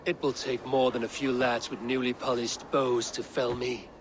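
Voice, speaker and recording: Actor as terse, grave Viking warrior lead; mid-pitch, plain. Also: male voice, flat delivery, moderate pace, noisy background, close to an everyday voice. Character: stern, terse adult male Viking warrior.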